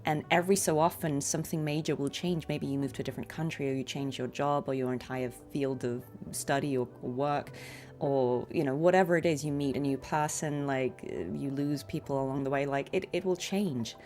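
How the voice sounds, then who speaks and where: Female game streamer, low register, reflective and encouraging, informal commentary with music behind. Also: fairly even intonation, fairly quick, darkish tone. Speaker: streamer and voice actor. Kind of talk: casual game livestream commentary.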